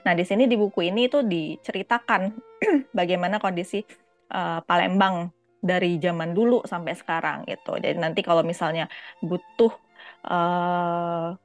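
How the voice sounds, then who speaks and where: A woman lecturer with a cold and a stuffy nose, giving an online lecture with many fillers, and clearing her throat often. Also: lowish voice.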